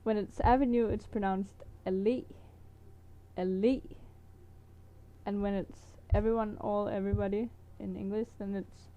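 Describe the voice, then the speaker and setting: A woman's voice: mid-range voice, fairly even intonation, unhurried, mellow. A woman from Denmark with a Danish accent, teaching words in a casual, hesitant vlog with many fillers and small laughs. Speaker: language teacher vlogger.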